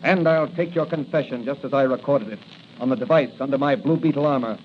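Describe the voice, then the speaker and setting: An actor playing a bold, resolute masked crime-fighting hero with commanding, dramatic delivery in 1940s radio style. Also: male voice, medium pitch, fast, close to an everyday voice. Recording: dull, noisy 1940 radio recording.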